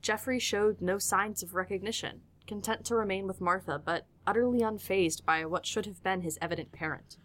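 A woman's voice: medium pitch, moderate pace, hardly any pauses. A woman reading scripted narration for an audiodrama as its storyteller.

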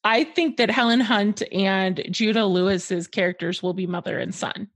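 Playful woman co-host with husky voice. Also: normal speed. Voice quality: low husky smoker's voice. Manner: laughs often, playful, teasing. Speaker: podcast co-host. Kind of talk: casual comedic chat.

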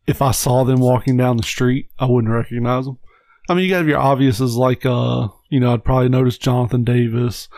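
A man's voice: medium pitch, warm tone, no room sound. A man co-hosting a podcast in casual chat, laid-back, with crude jokes and frequent swearing. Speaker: podcast co-host.

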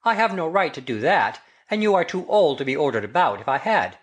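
A woman's voice: low-pitched, sing-song, fairly quick. A woman voicing an earnest, lovelorn older man in a storybook romance, solemn and impassioned. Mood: solemn, pained. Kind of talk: audiobook character dialogue.

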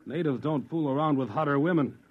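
A man's voice: a little high, brisk, narrow, tinny audio, lightly acted. An actor in an old radio drama playing a bullying, bigoted male colonist with a menacing, contemptuous manner, on an old recording. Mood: angry.

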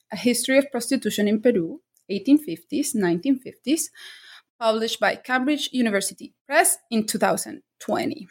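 A woman hosting an interview podcast who reads a formal introduction and asks long, enthusiastic questions. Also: on the high side.

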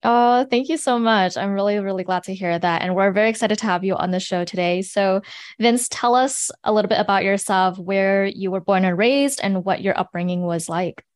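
A woman's voice: medium pitch, moderate pace. A woman from San Francisco co-hosting an interview podcast, talking in a friendly, chatty way with lots of "like". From San Francisco, California. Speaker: podcast co-host. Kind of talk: casual interview chat.

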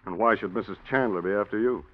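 An actor playing a stern police lieutenant questioning a suspect, hard and probing, in an old radio drama. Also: male voice, on the low side, quick pace, lightly acted. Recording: thin tinny old radio recording.

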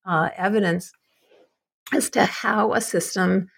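A woman's voice: medium pitch, fairly even intonation, takes it slowly, gravelly, husky. A woman hosting an interview with an author, engaged, with some stumbles. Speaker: podcast host.

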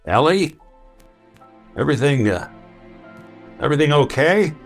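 A man playing a weary hard-boiled detective in a noir radio drama, gruff and plain-spoken. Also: on the high side, sing-song, a little slow, faint music behind. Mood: dazed.

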